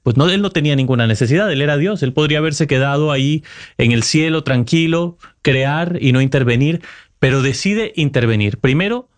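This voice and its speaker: A man's voice: on the high side, expressive, fairly quick. A Costa Rican man in his thirties, a correspondent giving thoughtful, earnest answers in an interview. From Costa Rica. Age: 30s. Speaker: Vatican correspondent, guest.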